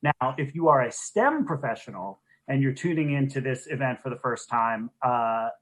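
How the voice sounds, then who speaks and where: A man's voice: medium pitch, expressive, normal speed, fairly bright tone, low-quality recording. A man speaking as a program director, giving an informal welcome and housekeeping notes as co-host of an online event on a video call.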